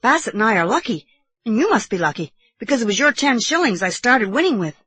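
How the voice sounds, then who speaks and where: Woman voicing an earnest, secretive young boy, raised pitch. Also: very animated, fast talker, a bit bright, slightly rough, dry recording, heavily acted. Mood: serious, eager. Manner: grave, insistent.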